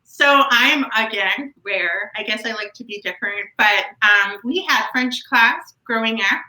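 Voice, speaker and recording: A Canadian woman in her thirties answers interview questions in a bright, crisp voice that carries a roomy echo. She talks in a chatty way, speeding up in bursts and laughing often. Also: on the high side, unhurried. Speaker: guest, nonprofit educator. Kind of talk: casual interview chat.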